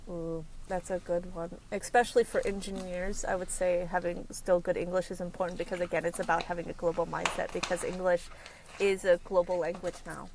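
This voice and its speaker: A woman career consultant answers interview questions in a halting, conversational way. Her voice sits a little low, and she restarts her sentences often as she searches for words. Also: little pitch movement, slight background noise, low-quality recording. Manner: halting, frequent self-corrections. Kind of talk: casual podcast interview.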